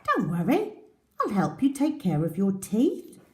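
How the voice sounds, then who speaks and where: A woman's voice: medium pitch, huge pitch swings, a little slow. A woman voicing a brave, kind little male storybook mouse, eager and reassuring.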